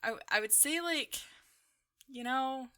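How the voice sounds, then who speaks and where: Young female gaming creator: bright, crisp-edged voice, a little slow-paced, informal and rambling, chatting casually. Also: high-pitched. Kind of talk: casual chatty commentary while playing a game.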